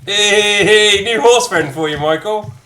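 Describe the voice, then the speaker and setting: A man's voice: high-pitched, a little slow, pushed and bright, slight background noise. A man giving casual, playful commentary while playing a video game, chatting and gushing in asides. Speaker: gamer commentating his play. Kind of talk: casual let's-play commentary.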